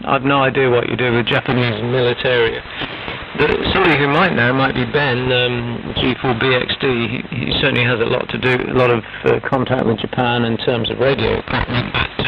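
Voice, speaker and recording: A man's voice: medium pitch, creaky, highs cut off. A man chatting casually as a radio amateur over a shortwave link, rambling and tentative as he goes. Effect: shortwave radio transmission. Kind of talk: casual radio net chat. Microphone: radio transceiver microphone heard over HF radio. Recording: noisy, band-limited shortwave radio reception.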